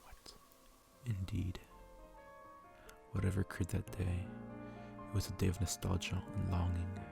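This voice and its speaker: A man reading a story aloud in a soft ASMR style, hushed and intimate, often slipping into whispers. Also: deep voice, monotone, measured pace, gravelly, music in the background. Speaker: ASMR reader. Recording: faint background noise.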